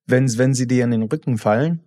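A man's voice: mid-range voice, a little fast, dark tone, no echo. Blunt man co-hosting a podcast, dry sound. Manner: blunt, repeats words when restarting. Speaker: podcast co-host. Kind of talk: casual chat.

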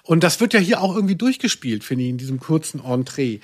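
A man's voice: fairly high pitch, very animated, quick pace. A man co-hosting a casual podcast discussion in a reflective way, searching for his words. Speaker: podcast co-host.

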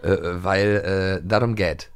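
A middle-aged man from the former East Germany, co-hosting a podcast in casual chat, animated, often restarting and repeating words. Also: low-pitched, slow pace, clear, resonant tone. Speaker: podcast co-host.

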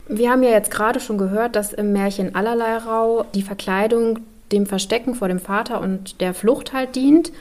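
A woman's voice: on the high side, steady tone, normal speed, few pauses, darkish tone. A woman co-hosting a podcast and explaining things conversationally. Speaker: podcast co-host.

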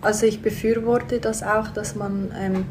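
A woman in her twenties speaks as a podcast guest. Her delivery stays flat in pitch, and she pauses and hedges as she thinks. A faint hiss sits under her voice. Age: 20s. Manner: thoughtful, hesitant with fillers. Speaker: guest, theology student. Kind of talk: casual interview.

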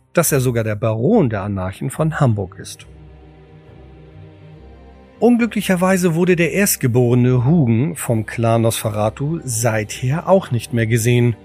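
A man reading scripted audio drama narration in a measured, solemn storytelling manner. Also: medium pitch, a little mellow. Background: music.

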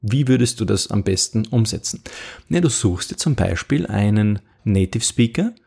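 A man's voice: lowish voice, expressive, normal speed, gravelly, darkish tone, husky. A middle-aged man with an Austrian accent hosting a podcast in a casual chatty way, full of fillers, restarts and rhetorical "ja?". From Upper Austria. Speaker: podcast host.

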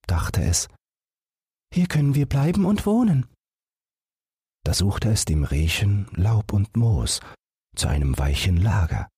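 Male audiobook narrator for bedtime fairy tales: low, husky, unhurried, recorded very dry. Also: a little mellow, no echo.

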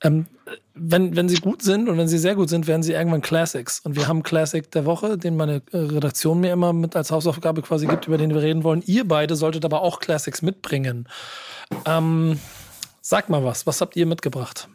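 A man's voice: fairly high pitch, fairly even intonation, brisk, darkish tone, a little hiss or hum. A man in his forties hosting a casual music talk, informal and often repeating the start of his phrases. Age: 40s. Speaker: talk show host.

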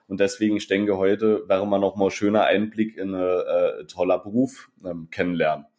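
Hesitant low-voiced male co-host. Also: steady tone, darkish tone. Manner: hesitant, halting, many fillers. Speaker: podcast co-host. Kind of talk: casual podcast interview.

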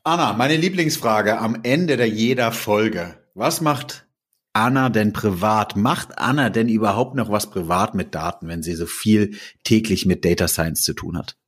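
A man's voice: on the low side, unhurried, breathy edge. A man hosting a podcast interview, asking loose questions and often rephrasing mid-sentence. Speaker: podcast host.

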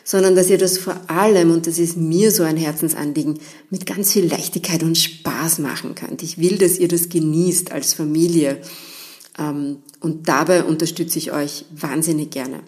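Female podcast host, lowish pitch, encouraging expert explainer speaking directly to listeners. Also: fairly even intonation, moderate pace, a little mellow. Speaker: linguist and podcast host giving family language advice. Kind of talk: explanatory solo podcast talk addressed to listeners.

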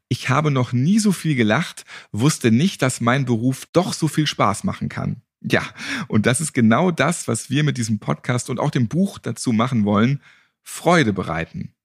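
A man's voice: mid-range voice, vocal fry, husky, no echo, clean studio sound. A man hosting a podcast in a playful, quipping way. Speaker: podcast host. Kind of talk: joking interview and show sign-off.

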